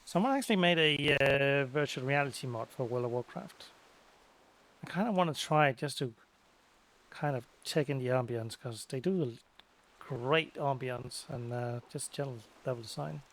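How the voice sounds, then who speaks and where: A man with an average-pitched voice narrates his own gameplay in a casual, easygoing way. He takes his time between remarks as a laid-back let's play streamer. A faint layer of noise sits behind him. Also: fairly bright tone, rough recording. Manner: unhurried, easygoing.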